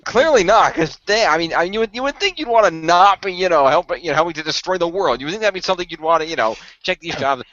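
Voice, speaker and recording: A man, a cast member, chatting casually, often stammering and restarting as he asks animated questions. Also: on the high side, fast, hardly any pauses, no room sound. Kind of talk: casual podcast chat. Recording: dull lo-fi dry sound.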